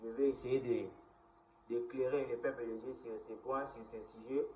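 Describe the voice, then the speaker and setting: The voice is a man with a Congolese accent and a middling pitch, preaching to a recorder. He sets out his teaching in deliberate, halting, repeated phrases. The sound is roomy and slightly muffled, as from a lo-fi home setup. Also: fairly even intonation, mellow, far from the mic, slight background noise. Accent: Congolese (Kinshasa). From Kinshasa, DR Congo. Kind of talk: recorded Bible teaching, reading scripture aloud. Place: indoor room at a computer. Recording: roomy, echoing, dull lo-fi sound.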